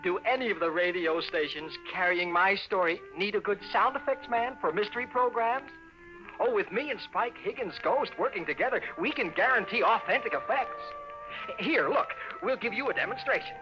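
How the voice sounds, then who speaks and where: A man playing a desperate, haunted young fiancé in an old radio horror-comedy, excitable and exasperated. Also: high voice, moderate pace, lightly acted. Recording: muffled vintage radio recording, music behind.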